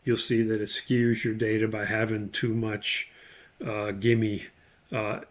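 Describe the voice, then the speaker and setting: A man's voice: on the low side, flat delivery, slow. A man instructor explaining material in a loosely scripted lecture, plain-spoken with opinionated asides.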